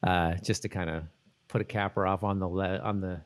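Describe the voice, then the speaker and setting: A man hosting a podcast in casual chat, talking in a halting, rambling way and often correcting himself. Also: low voice, steady tone. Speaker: podcast host.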